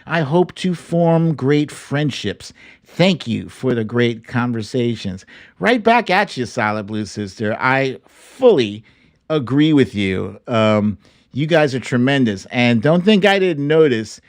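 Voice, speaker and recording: A man's voice: medium pitch, lively intonation, takes it slowly. A man from Brooklyn hosting a livestream talk show, chatting informally and slangily straight to his viewers. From Brooklyn, New York. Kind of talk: casual livestream chat with viewers.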